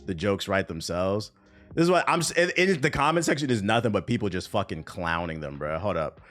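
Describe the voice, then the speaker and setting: Animated joking male streamer. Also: fairly low pitch, brisk. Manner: loose, joking, animated, trailing into mutters. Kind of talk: livestream reaction commentary. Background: faint background music.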